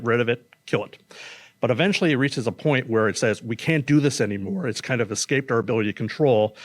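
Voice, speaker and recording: A man, an oncologist, giving a casual, plain-spoken public talk with slides in a conference room, speaking into a stage microphone. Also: mid-range voice. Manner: casual, plain-spoken, says he has a loud voice.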